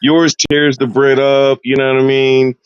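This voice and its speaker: A man's voice: mid-range voice, monotone, a little slow, muffled recording. A man co-hosting a casual online gaming chat, joking and laughing a lot. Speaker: co-host.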